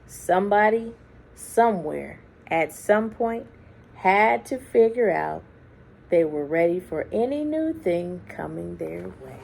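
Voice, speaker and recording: A woman reading a children's story aloud expressively, with deliberate dramatic phrasing and hushed, drawn-out words. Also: fairly low pitch, expressive, creaky, breathy edge. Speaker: children's book reader. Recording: faint background noise.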